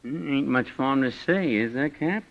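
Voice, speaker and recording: Small-town deputy, gravelly, swooping pitch, folksy, taunting, old radio drama, dull recording. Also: male voice, expressive, normal speed. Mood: mocking. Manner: mocking, folksy, colloquial. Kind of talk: vintage radio detective drama acting.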